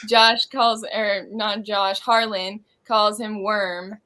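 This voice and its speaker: A woman's voice: medium pitch, flat delivery, slow pace, sharp tone, slight background noise. A woman speaking informally as an interviewed stage actor, offering advice in a reflective, casual way.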